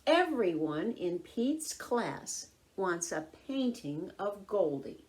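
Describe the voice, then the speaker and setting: A woman narrates a children's picture book as a story reader. Her delivery is unhurried and deliberate, with a lively, rising and falling melody in a mid-range voice. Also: mid-range voice. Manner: expressive, deliberate, clearly phrased.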